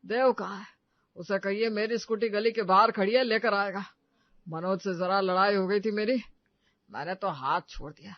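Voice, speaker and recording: A man plays a pompous middle-aged father in a comic family drama, with a very high voice in a dry, dull recording. He blusters, boasts and scolds with a short temper. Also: fairly even intonation, normal speed, no room sound. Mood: grumbling. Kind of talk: Hindi comedy story dramatization.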